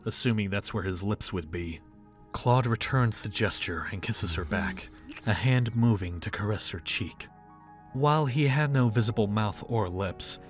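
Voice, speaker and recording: A man reading scripted third-person narration as the storyteller of an audio drama. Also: lowish voice.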